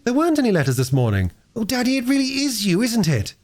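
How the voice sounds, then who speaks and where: An eager, warm-hearted little girl from a storybook, put on by a male reader, breathless and excitable. The voice jumps high and swings about with feeling. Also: fast talker. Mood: excited.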